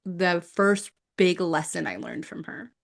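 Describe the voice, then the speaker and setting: Slightly low-voiced woman, earnest and reflective. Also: expressive, a little slow, vocal fry. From South Korea and the United States. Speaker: guest, Persephone devotee. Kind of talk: reflective interview conversation.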